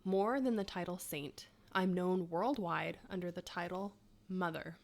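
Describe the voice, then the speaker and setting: A woman's voice: fairly low pitch, measured pace, darkish tone. A woman in her thirties co-hosting a podcast, reading quiz clues and chatting, laughing often. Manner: chatty, laughs often, self-mocking asides. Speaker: podcast co-host.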